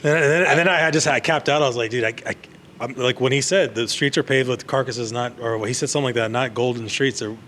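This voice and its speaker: A man's voice: mid-range voice, fast, fairly bright tone. Rambling man in his forties, bar noise. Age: 40s. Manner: rambling, restarts often. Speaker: podcast guest, actor and bar owner. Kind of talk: casual chat.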